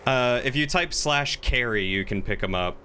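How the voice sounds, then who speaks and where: A man's voice: mid-range voice, animated, normal speed, bright, forceful tone. A man chatting casually and helpfully while playing an online roleplay game, with an easygoing manner. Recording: faint background noise.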